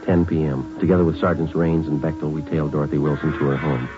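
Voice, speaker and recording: Calm American police sergeant, extremely low voice, clipped delivery, muffled old radio drama recording. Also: male voice, fast talker, over loud music. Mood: matter-of-fact. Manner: clipped, matter-of-fact, understated. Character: calm, methodical male police sergeant and narrator.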